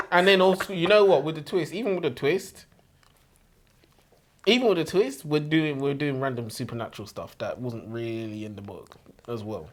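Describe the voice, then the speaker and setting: A man's voice: on the high side, moderate pace, pauses often. A man co-hosting a book show in casual banter, teasing and emphatic, often repeating himself for effect. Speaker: co-host of a book review show.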